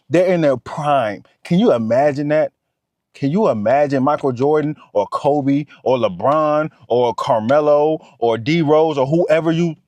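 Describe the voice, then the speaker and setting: A man's voice: mid-range voice, darkish tone, dry recording. A man, giving a motivational talk to camera. He talks straight to the viewer and repeats his points. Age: 30s. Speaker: vlogger.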